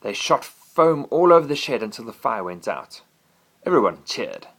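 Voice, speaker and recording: A father reading a bedtime story aloud to his child, gentle and animated. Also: medium pitch, normal speed.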